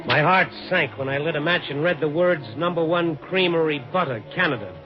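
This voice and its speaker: An actor with an American accent playing a weary, wry newspaper reporter in a 1950s radio drama, both narrating and talking in scenes. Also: male voice, on the high side, brisk, lightly acted. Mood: grave narration. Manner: wry, hard-boiled. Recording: dull 1950s radio recording with music.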